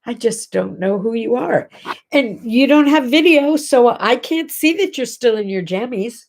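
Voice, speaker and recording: A woman's voice: fairly high pitch, expressive, a little slow, slight background noise. A middle-aged woman with a congested, nasal voice, hosting a casual morning livestream chat from her home and chatting directly to viewers. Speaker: host. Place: home bedroom.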